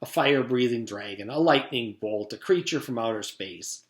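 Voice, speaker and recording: Animated man reading a storybook aloud. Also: mid-range voice, normal speed. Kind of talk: expressive storybook narration. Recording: roomy echo.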